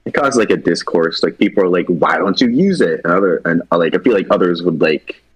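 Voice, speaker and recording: A man with a low-pitched, rough-edged voice chats about characters. His phrasing is loose and tentative, and he keeps it informal. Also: brisk, highs cut off. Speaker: animation project team member. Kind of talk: casual chat discussing characters.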